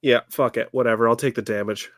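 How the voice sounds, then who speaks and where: A man's voice: mid-range voice, steady tone, fast, fairly bright tone, dry recording. A man plays a tabletop game, talking casually in a mid-range voice. His manner is wry and jokey, and the sound is close and very dry.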